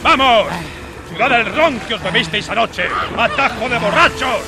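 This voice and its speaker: An actor playing a cunning, commanding pirate sea cook in a Spanish radio drama, scornful and domineering. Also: male voice, very high voice, lively intonation, normal speed, over a noisy crowd. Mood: bellowing, domineering. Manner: scornful, domineering, coaxing with the boy. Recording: radio drama with loud music behind.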